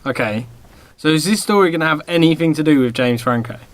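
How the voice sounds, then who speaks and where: A man's voice: a little high, expressive, fast talker. Teasing man in casual podcast chat. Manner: teasing, challenging, questioning. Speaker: podcast co-host.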